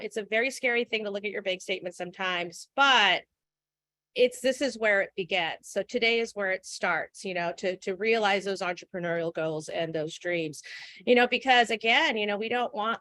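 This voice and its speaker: A woman's voice: medium pitch, a bit bright, dry recording. A woman in her forties giving an earnest, frank webinar talk, with many fillers. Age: 40s. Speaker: guest speaker. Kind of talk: webinar presentation.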